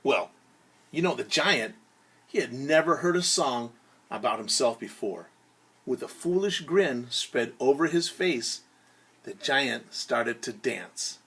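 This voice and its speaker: A man's voice: medium pitch, lively intonation, slightly rough. A man telling a story to children as a teacher, in a theatrical way, acting things out and explaining words simply. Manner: theatrical, acts out shouts, whispers and sound words; pauses to explain words simply and invite joining in. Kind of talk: animated children's storytelling with singing and word explanations.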